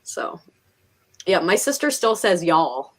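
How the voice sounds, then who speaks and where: A woman's voice: lowish voice, sing-song, slow pace. A woman with a Northeastern American accent hosting a live stream, chatting casually in a giggly, talkative way. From Pennsylvania and New York, United States. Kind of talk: casual chat.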